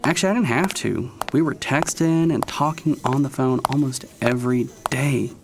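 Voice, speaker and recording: A man's voice: medium pitch, normal speed, background noise, lightly acted. An actor playing an ambitious, self-assured male prosecutor in an audio drama, with a pointed, knowing delivery. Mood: confiding, reflective. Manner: confiding in narration, sharp and sarcastic in argument. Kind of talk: audio drama narration and dialogue.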